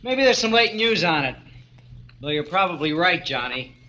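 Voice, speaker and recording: A man's voice: on the high side, sing-song, moderate pace, bright, forceful tone. An actor playing a friendly, curious young man in a 1940s radio drama. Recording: dull vintage radio recording.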